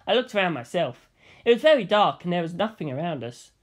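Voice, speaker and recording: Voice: medium pitch, very animated, fairly quick. A narrator reading a first-person story expressively, in the style of an amateur audiobook. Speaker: audiobook reader.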